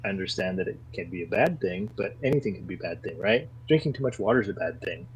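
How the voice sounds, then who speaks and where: A man talking casually as a podcast guest, earnest and getting animated when he defends his views. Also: low-pitched, fast talker, a little hiss or hum, low-quality recording. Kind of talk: casual conversation.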